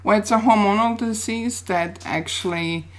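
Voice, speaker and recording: A woman's voice: mid-range voice, moderate pace. A woman from Austria with an Austrian accent, answering interview questions in an upbeat, determined way. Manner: upbeat, determined, speaks in short affirmations. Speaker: guest, plus-size model.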